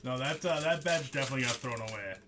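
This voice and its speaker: A man's voice: mid-range voice, fast talker, noisy background. A young man hosting a live trading-card break stream, chatting casually with viewers by name, laughing often as he reads out the cards he pulls.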